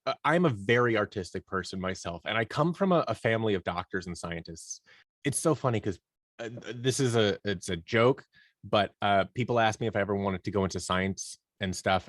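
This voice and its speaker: A man with a fairly low voice talks casually as an interview guest. The sound is dry, with very little room on it. He tells things like a storyteller, slipping into imagined bits of dialogue. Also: no echo. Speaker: interview guest, audio drama producer leading a creative team. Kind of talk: casual conversational interview answers.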